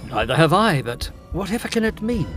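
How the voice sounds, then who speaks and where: A courteous young Edwardian gentleman in a gothic audio drama. His voice is fairly high and his phrasing formal and inquisitive. Music plays underneath. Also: very animated, a little fast. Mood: curious, concerned. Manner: polite, formal.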